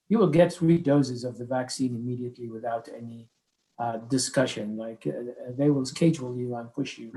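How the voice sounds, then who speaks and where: Man explaining haltingly, mid pitch. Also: warm tone, poor audio. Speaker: medical expert on a panel. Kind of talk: answering an audience question in a webinar.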